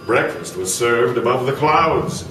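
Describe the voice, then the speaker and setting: A man's voice: mid-range voice, animated, measured pace. A man narrating a radio adventure in a scripted storytelling style. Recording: roomy, distant, noisy microphone pickup.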